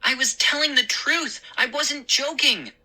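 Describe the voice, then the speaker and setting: An excitable young boy from an audiobook, acted out by a woman. The voice is piercingly bright and jumps well above the narration. The delivery is earnest, urgent and pleading. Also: mid-range voice, measured pace, husky, pitched above the performer's own voice, exaggerated voice. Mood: excited. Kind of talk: audiobook character dialogue.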